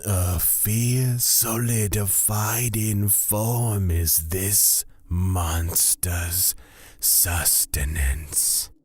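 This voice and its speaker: An actor playing a calm, menacing god of fear, speaking deliberately and formally in a husky, breathy voice in a fantasy audio drama. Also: male voice, deep voice, dry recording, heavily acted. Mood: calm, ominous. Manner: deliberate, formal, drawn-out phrasing.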